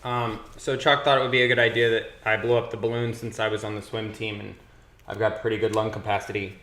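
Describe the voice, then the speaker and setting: A man playing a tabletop game, talking in a low, put-upon mumble in character. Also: flat delivery, fast talker, a bit bright, slight background noise. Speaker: player. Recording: roomy echo.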